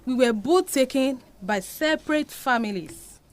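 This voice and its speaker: A woman's voice: high-pitched, expressive, a little slow. A woman playing an anguished, devout Nigerian mother confessing a painful past in an audio drama. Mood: sorrowful confession.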